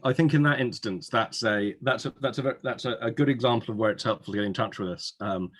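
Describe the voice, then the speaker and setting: A man hosting an informal webinar Q&A as an admissions adviser, chatty, with wry asides. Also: lowish voice. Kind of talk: informal Q&A hosting, reading out audience questions. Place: online webinar.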